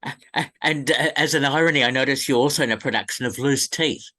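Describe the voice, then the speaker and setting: A man's voice: medium pitch, quick pace, pushed and bright. A man hosting an interview in a conversational, appreciative manner. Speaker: interview host.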